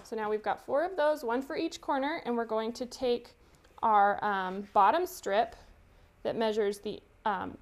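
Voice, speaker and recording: A woman's voice: on the high side, unhurried. A woman hosting a live quilting demonstration, chatty and giggly, explaining each step as she sews. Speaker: quilting tutorial host.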